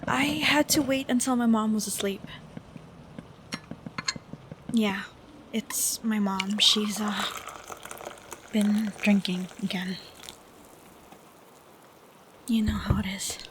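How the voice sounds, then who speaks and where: A young woman playing an affectionate, troubled college-age best friend in an intimate audio roleplay, speaking softly and hesitantly with sighs. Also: mid-range voice, lightly acted. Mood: upset, weary. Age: young adult. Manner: soft, hesitant, slow with sighs. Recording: steady background noise of rain. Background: rain sounds.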